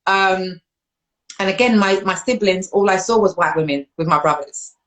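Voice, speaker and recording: A woman from London with a London accent, hosting a casual live-stream talk show, animated and laughing often. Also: moderate pace, low-quality recording. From London, England. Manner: animated, laughs often, jumps up in pitch when excited. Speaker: host.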